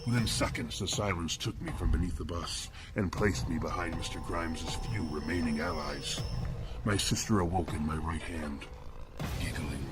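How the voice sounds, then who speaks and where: A man playing a cold, courtly, macabre killer who narrates horror fiction in formal, ornate, deliberate language with cool menace. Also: sits low, natural voice. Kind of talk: scripted first-person horror narration. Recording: loud music behind the voice. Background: loud music.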